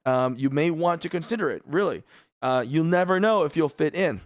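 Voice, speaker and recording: A man's voice: fairly high pitch, lively intonation, moderate pace, no room sound, clean studio sound. Laid-back Filipino man talking games. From Philippines. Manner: chatty, rambling, laid-back. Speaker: gaming YouTuber. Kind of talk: casual game commentary. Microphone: studio mic in a dry room.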